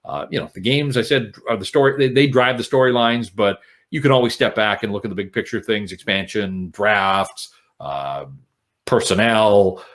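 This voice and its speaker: A man's voice: lowish voice, normal speed, fairly bright tone. A middle-aged Canadian man with an articulate, explanatory way of talking, speaking as a sports broadcaster in a conversational interview. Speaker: sports radio broadcaster and podcast co-host, interview guest. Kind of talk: conversational interview, reflective storytelling.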